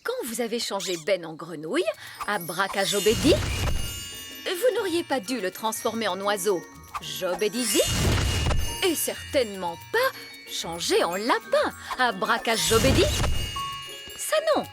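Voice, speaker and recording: A woman voicing a strict fairy nanny in a children's cartoon, brisk and commanding as she teaches. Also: medium pitch, big pitch swings, over music. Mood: scolding. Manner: didactic, commanding. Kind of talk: children's cartoon dub acting.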